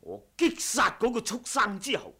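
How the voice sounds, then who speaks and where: An actor playing a cold, haughty martial-arts assassin in a radio drama, measured and sardonic in delivery. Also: male voice, sits high, animated, vocal fry, bright, forceful tone, husky, exaggerated voice. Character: ruthless male wuxia assassin, cold and haughty. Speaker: audiobook voice actor.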